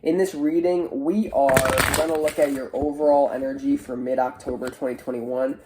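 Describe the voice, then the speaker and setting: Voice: mid-range voice, steady tone, normal speed, heavy background noise. A tarot reader with a stuffy, nasal sound, talking in a casual, chatty and hesitant way through a spiritual reading. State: stuffy, congested nose. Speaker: tarot reader, online show host.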